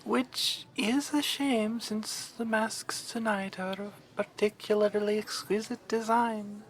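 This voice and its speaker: A woman's voice: medium pitch, steady tone, slow pace, lightly acted, rough recording. A woman playing a refined, haughty vampire baroness in an ASMR roleplay, courteous and formal in her wording. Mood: disdainful, refined.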